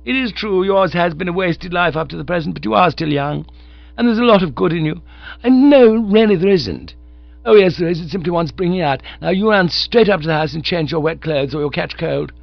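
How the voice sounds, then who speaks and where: A man's voice: a little fast, gravelly, no echo. A man reading aloud while voicing a bossy, hearty young woman who patronisingly takes charge of people. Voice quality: booming laugh, managing, patronising. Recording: dull lo-fi recording.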